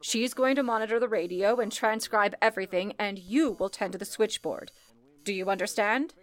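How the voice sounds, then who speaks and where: A woman's voice: mid-range voice, close to an everyday voice. A woman playing a composed, commanding telephone exchange supervisor, speaking firmly and formally as in an old-time radio drama. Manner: firm, deliberate, formal.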